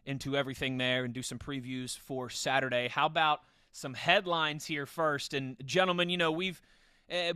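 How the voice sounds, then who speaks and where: A man's voice: medium pitch, bright, forceful tone, clear, resonant tone, dry recording, clean studio sound. A man hosting a sports podcast in a conversational, enthusiastic way. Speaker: podcast host.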